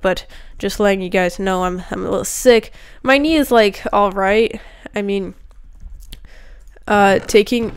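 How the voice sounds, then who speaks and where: A young woman talks over her gameplay with a slight roughness from a cold, rambling through fillers. Her middle-pitched voice is smooth and clear, close on a desk mic. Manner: chatty, rambling with many fillers. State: sick with a cold, voice a bit rough. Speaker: gaming youtuber. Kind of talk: casual gameplay commentary.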